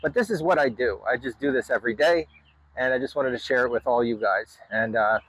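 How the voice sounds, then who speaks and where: A man hosting a casual hobby walkthrough, explaining things in a halting way with pauses mid-sentence. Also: medium pitch, a bit bright, husky, no room sound, low-quality recording. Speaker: hobbyist video host. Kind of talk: casual hands-on walkthrough to camera. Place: in and around a parked vehicle.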